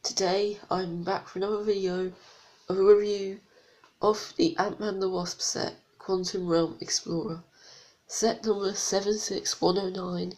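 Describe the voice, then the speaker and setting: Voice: mid-range voice, little pitch movement, a little slow, a little mellow, rough recording. A reviewer with a blocked, congested nose, chatting casually through a toy set review with unsure, rambling asides. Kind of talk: casual product review vlog.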